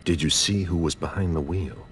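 An actor voicing a stern vampire investigator in a video game, curt and intimidating, with a commanding, interrogating manner. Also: male voice, low voice, moderate pace, slightly rough. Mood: cool, probing. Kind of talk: video game cutscene acting.

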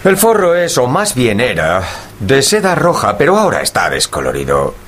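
Un actor interpreta al amigo leal y escéptico de un detective, titubeante e inquisitivo, en una radionovela. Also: male voice, mid-range voice, wildly swooping pitch, moderate pace, fairly bright tone, slight background noise. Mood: hesitant, observing. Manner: hesitant, questioning, plain-spoken. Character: loyal, skeptical gentleman friend of a detective, earnest. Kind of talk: Spanish-language radio drama dialogue.

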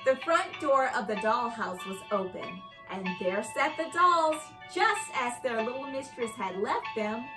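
A young adult woman reading a children's story aloud with expressive, carefully enunciated storytelling delivery. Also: a little high, a little slow, hardly any pauses, music in the background, rough recording. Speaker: host and reader of children's read-aloud show. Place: indoor living room by a fireplace.

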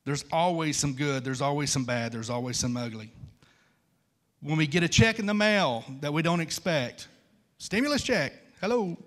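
A man's voice: on the high side, normal speed. A man, preaching an informal, conversational sermon and repeating phrases for emphasis. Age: 50s. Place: large church stage at a wooden pulpit.

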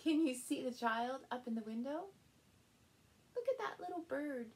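A middle-aged woman reads a picture book aloud to small children in an expressive, playful way, recorded indoors by a camera a short distance in front of her. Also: high voice, background noise. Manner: animated, slow, with playful sound words and exclamations. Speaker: storyteller and channel host. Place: small furnished room indoors.

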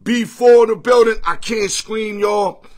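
Hoarse, high-voiced man hosting a livestream. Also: measured pace. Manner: energetic, greets viewers by name, street slang. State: hoarse, losing his voice. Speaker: sports picks livestream host. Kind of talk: casual livestream chat. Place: home room full of sports memorabilia with a TV. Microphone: phone or webcam at arm's length.

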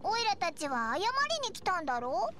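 A woman's voice: very high voice, sing-song, fairly quick, heavy background noise. A woman voicing a small childlike fairy companion in an anime-style game, chatty and blunt with boyish wording. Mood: curious, chatty. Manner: boyish first person, blunt childish speech. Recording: loud music behind. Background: game background music.

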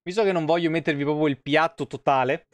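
A man's voice: on the high side, steady tone, quick pace, no echo. A man, live streaming casually in slangy, foul-mouthed talk and reading game lore aloud. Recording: music playing behind the voice. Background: soft tavern guitar music.

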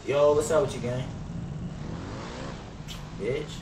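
A man's voice: medium pitch, takes it slowly, far from the mic. A young man with an American accent talks brashly on a gaming livestream, full of slang and swearing. His voice sounds roomy and a little off the mic, with faint noise behind it. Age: young adult. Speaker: game streamer. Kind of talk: casual gaming livestream chat with role-play banter. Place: indoor room at streaming setup. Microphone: gaming headset.

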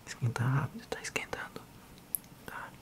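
A man in his thirties with a Brazilian accent, speaking softly and unhurriedly in an ASMR role-play as a physiotherapist addressing the listener. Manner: soft, unhurried, hushed.

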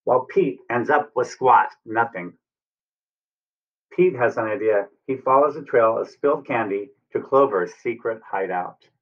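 A man's voice: mid-range voice, a little slow. American guy teacher reading a storybook to little kids, asks questions, bit far from the mic, muffled. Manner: asks the listeners questions and explains simply. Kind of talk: animated picture-book read-aloud for young children. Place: room at home, indoors. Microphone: computer webcam at arm's length or more. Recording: distant, muffled webcam sound.

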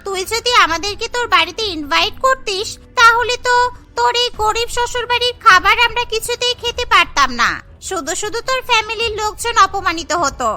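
Cartoon: woman playing a sneering snobbish friend, very high and bright. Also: brisk, soft background music, heavily acted. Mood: mocking. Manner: sneering, mocking. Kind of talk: cartoon voice acting.

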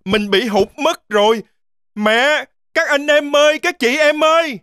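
A woman's voice: sits high, a little slow, noisy background. A woman voicing a timid, distrustful little boy goat in a children's cartoon, anxious and indignant. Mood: scared, lost.

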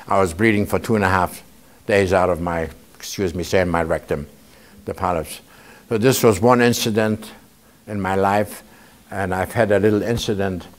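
A man in his seventies, a Holocaust survivor, giving a conversational talk to students and often checking in with them by asking "okay?". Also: sits low. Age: 70s. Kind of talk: informal testimony talk to a student audience. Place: indoor museum room.

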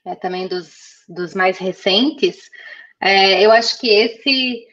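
A woman's voice: mid-range voice, slow, fairly bright tone. A young woman chats about her work over a headset on a video call. Her voice sits in a middle register and comes through slightly dull and lo-fi. She talks freely, with frequent fillers and restarts. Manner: chatty, many fillers and restarts. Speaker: guest designer. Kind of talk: casual video-call interview.

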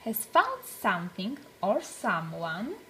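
Lady reading a kids picture book out loud, slow and really expressive, very up and down. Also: mid-range voice, music in the background. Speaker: storybook reader for children. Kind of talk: read-aloud children's storytelling.

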